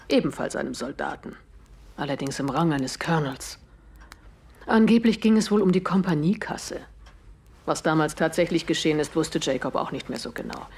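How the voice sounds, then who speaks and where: A husky, grieving widow. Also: female voice, sits low, darkish tone, heavily acted. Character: grieving widow of a murdered man, earnest and composed. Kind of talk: German audio drama acting.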